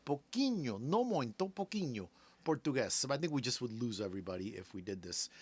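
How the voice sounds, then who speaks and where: A man born in Cuba, a native Spanish speaker, hosting a casual radio chat about taxes, chatty and quick to laugh. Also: medium pitch, big pitch swings, a little mellow, breathy edge. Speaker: radio host, tax preparer.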